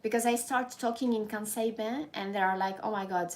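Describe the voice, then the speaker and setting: A woman's voice: mid-range voice, fairly even intonation, a little fast, a little mellow. A woman in her thirties with a French accent, chatting casually with viewers on a live stream, rambling and frank with frequent restarts. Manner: rambling, self-correcting, frank, laughs easily. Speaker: YouTuber hosting a live stream. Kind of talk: casual live-stream chat reading comments.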